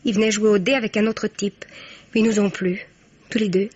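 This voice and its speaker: An actress playing a frank, cheeky young student daughter in a 1950s French radio mystery. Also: female voice, medium pitch, fairly even intonation, fairly quick, a little mellow, slightly rough, lightly acted. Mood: chatty, amused. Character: brash, wry young student daughter, freshly jilted. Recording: dull vintage radio recording.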